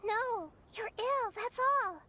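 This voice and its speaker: A woman voicing a gentle, earnest young girl deity in a fantasy game, with a soft, solemn manner. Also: very high-pitched, takes it slowly, a little hiss or hum, exaggerated voice. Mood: earnest, pleading. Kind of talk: dramatic fantasy game voice acting.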